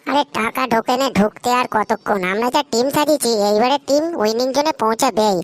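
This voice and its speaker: A woman's voice: on the high side, quick pace, natural voice. A woman voicing a cocky, greedy young male schemer in a comic cartoon, brash, bossy and slangy. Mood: indignant, boastful.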